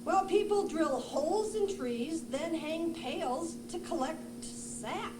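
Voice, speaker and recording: A woman voicing a grumpy, bossy male raccoon puppet in a children's show, grumbling with drawn-out emphasis. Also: very high-pitched. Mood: explaining. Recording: roomy, echoing, noisy live puppet show.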